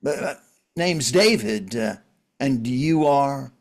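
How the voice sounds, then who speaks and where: A man playing a world-weary, wry survivor in a drama reading, talking in a halting, conversational way. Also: mid-range voice, lively intonation. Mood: calm, probing.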